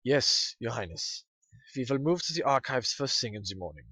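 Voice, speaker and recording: A man's voice: medium pitch, big pitch swings, moderate pace, bright, forceful tone, breathy edge, dry recording, full character voice. A man playing an earnest, bookish male pony researcher in an audio drama, polite, deferential and a little hesitant. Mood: dutiful. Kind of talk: scripted audio drama acting.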